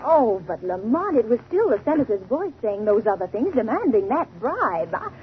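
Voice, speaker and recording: A tinny, hissy old radio recording carries a worried, earnest young lady's questions. Her pitch is high and swings widely, excitable and insistent in the 1930s drama style. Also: normal speed. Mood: alarmed, puzzled. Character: anxious, earnest, questioning lady companion of a sleuth.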